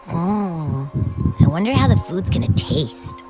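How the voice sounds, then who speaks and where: A woman's voice: lowish voice, sing-song, slow pace. A woman voicing a small, boastful, childlike female companion creature in an anime game, cutesy and playful, always greedy. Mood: curious, eager. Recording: game music behind the voice.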